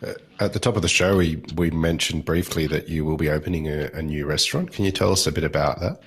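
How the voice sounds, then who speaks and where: A man hosts a podcast and puts questions to his guest at a slow, measured pace. His voice is extremely deep, with a gravelly fry. It also has a husky, slightly raspy edge. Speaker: podcast host. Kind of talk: interview questions.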